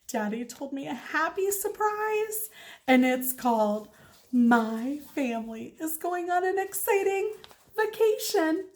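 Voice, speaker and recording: A woman voicing an excitable, pushy first-grade girl from a children's storybook, with emphatic, childlike phrasing. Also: very high-pitched, slow pace, pitched above the performer's own voice, exaggerated voice. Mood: excited, proud. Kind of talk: children's storybook read-aloud.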